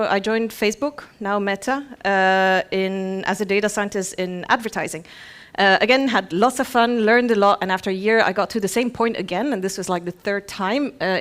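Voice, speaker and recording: A woman in her thirties from Belgium speaks at a conference in a medium-pitched voice. She is informal and chatty, presenting with a self-deprecating touch. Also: clean tone. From Belgium, grew up in Bruges. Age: 30s. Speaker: conference speaker, head of product.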